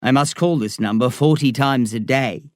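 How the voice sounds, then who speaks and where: A man's voice: medium pitch, normal speed, dry recording, studio quality, lightly acted. A man voicing a mysterious, urgent stranger on a phone line, insistent and ominous. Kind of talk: audiobook dialogue.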